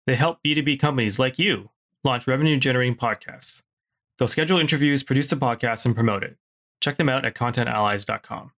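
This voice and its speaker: A man reading a scripted podcast intro and sponsor thanks in a smooth promotional way. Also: mid-range voice, quick pace, fairly bright tone. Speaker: podcast announcer.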